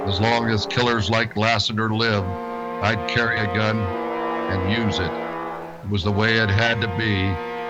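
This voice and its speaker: Male actor as weary frontier marshal, low pitch, grave manner, radio western drama, music behind. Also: muffled recording, close to an everyday voice. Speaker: lead actor and narrator. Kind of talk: old-time radio western drama acting.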